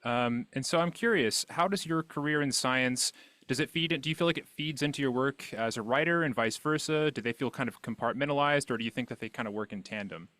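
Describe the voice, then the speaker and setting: A man speaks as a podcast host in a casual interview. His voice sits at an average pitch for a man. The recording is dry, with very little room sound around him. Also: fairly quick, pushed and bright, no room sound.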